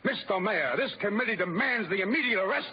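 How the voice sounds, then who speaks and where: A man's voice: high voice, animated, quick pace. An actor playing an indignant civic committee spokesman in an old-time radio drama, formal and declamatory. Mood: indignant, insistent. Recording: muffled old radio recording.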